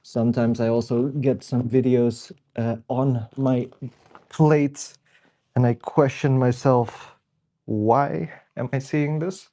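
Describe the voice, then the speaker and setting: A young man with a bit of a cold hosts a relaxed livestream, talking as he works. He chats easily and greets viewers by name as they arrive, in a voice of ordinary middle pitch. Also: slow pace. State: sniffly. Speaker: livestream host. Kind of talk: casual live-stream chat while working.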